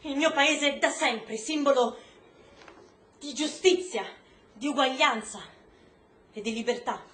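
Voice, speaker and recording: An actress playing an earnest, idealistic American journalist, speaking solemnly and deliberately in phrases set apart, in a stage-style drama. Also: female voice, high-pitched, a bit bright. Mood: earnest, troubled. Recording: echoey room stage recording.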